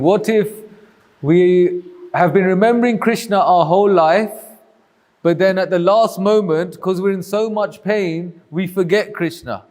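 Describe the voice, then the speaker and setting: High-voiced man teaching in a hall. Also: darkish tone. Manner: patient, reassuring explanations. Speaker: teacher answering questions. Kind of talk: talk with questions from the group. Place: community hall.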